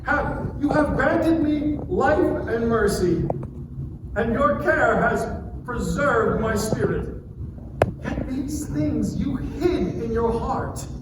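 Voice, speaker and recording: A man's voice: very high-pitched, lively intonation, exaggerated voice. Distant echoey recording, anguished defiant sufferer acted by a man, declamatory, impassioned, dramatic scripture reading. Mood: anguished pleading. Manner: declamatory, impassioned, with drawn-out phrases. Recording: distant, very echoey, noisy live room.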